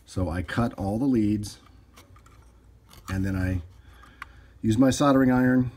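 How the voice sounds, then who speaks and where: A man's voice: on the low side, a little slow, a little mellow, slight background noise. A man giving a casual, unscripted hands-on tutorial, thinking aloud as he works at an indoor bench. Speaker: hobbyist repairer demonstrating his work. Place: indoors at a workbench.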